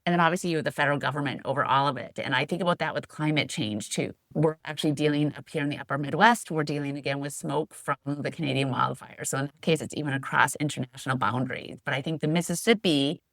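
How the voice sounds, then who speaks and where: Low-voiced middle-aged woman hosting. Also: fast talker, few pauses, a bit bright, dry recording. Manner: rambling, thinks aloud with fillers. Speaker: podcast co-host. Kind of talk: conversational interview.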